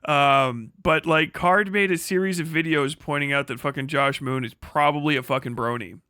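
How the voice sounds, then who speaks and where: A man's voice: fairly high pitch, normal speed, sharp tone, clear, resonant tone, no room sound, studio quality. A man livestreaming a casual, rambling rant, full of profanity and slang, often stammering over repeated words. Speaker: live streamer, online commentator.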